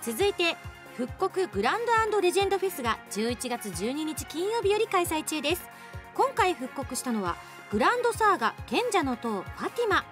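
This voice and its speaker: A woman's voice: extremely high pitch, sing-song, brisk. A woman reading a scripted announcement as a radio show host. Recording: faint music behind the voice. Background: background music.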